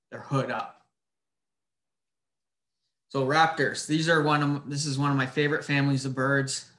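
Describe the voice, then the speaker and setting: A man giving an informal slide presentation over a video call, in a somewhat halting, hesitant way. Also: medium pitch, fairly bright tone. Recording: roomy echo on webinar audio.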